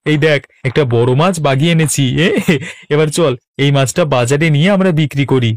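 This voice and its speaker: A man's voice: a little high, moderate pace, no room sound, clean studio sound, lightly acted. A man voicing a sly young village swindler in a cartoon, cajoling and talking down in a mock-friendly way. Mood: smug. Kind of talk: Bengali cartoon voice acting.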